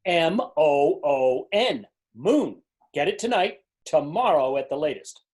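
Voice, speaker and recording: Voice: medium pitch, animated, slow pace, darkish tone. An amateur reader playing an imperious, impatient king and doting father in a readers theater story. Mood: commanding.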